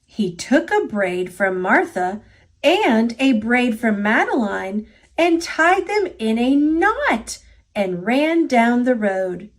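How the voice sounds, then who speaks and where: American lady reading a kids book out loud, high voice, really expressive and unhurried. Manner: expressive, deliberate. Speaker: storybook reader. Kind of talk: read-aloud children's storytelling.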